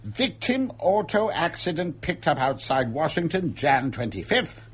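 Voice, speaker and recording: An actor playing a stern, vengeful ship's captain in a radio drama, husky and gravelly, clipped and authoritative. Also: male voice, on the high side, very animated, a little slow, heavily acted. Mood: clipped, reading.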